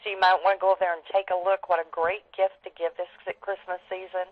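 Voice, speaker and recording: A woman's voice: lowish voice, flat delivery, fast talker, no echo. A woman delivers an informal team update as a sales leader. She is enthusiastic and stresses her points. The audio is dry and dull, as if heard down a telephone line. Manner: enthusiastic, emphatic. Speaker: network marketing leader giving updates. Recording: dull, band-limited conference phone line.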